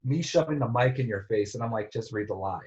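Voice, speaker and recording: A man in casual reunion chat, talking in an animated, rambling way full of fillers, recalling how a low-budget film was made. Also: fast, highs cut off, slight background noise.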